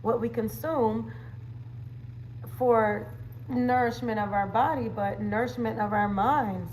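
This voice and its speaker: A woman's voice: medium pitch, darkish tone, slight background noise, low-quality recording. A woman hosting a casual livestream, chatting playfully with viewers in slangy, conversational phrases. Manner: playful, chatty, with slang catchphrases and frequent laughter. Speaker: livestream host and wellness coach.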